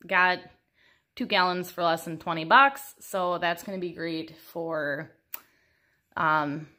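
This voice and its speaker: A woman's voice: mid-range voice, bright, forceful tone. A woman, giving a casual, chatty room tour with wry, self-deprecating humor. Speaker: homestead and houseplant vlogger. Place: small upstairs room in an old house, indoors.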